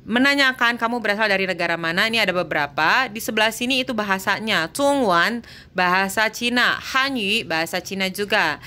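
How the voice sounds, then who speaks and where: A woman teaching Mandarin vocabulary, drawing out model words slowly and correcting herself as she goes. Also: mid-range voice, bright, forceful tone. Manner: slow, drawn-out model pronunciation, self-correcting. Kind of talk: language lesson explaining vocabulary.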